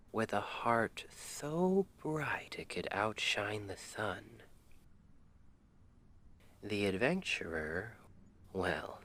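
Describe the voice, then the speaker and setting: Hushed, slow, low-voiced soothing boyfriend played by a man. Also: exaggerated voice. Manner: hushed, half-whispered, gentle and intimate. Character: tender, playful boyfriend soothing his partner to sleep. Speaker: ASMR roleplay performer. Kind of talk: ASMR roleplay, soft bedtime storytelling.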